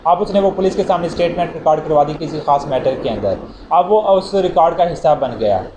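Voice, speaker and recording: Man, law lecture, slightly high voice, noticeable background noise. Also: expressive. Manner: reads English legal text slowly, then explains casually with examples. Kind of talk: explanatory legal lecture.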